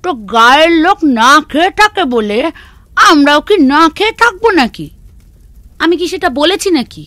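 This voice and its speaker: A village woman from a children's cartoon. Her voice is very high and bright, with expressive, emphatic delivery. It is recorded dry with music underneath. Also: moderate pace, no echo. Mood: scolding, haughty. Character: village women: maid, mistress, wife, daughter. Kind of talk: Bengali children's cartoon voice acting.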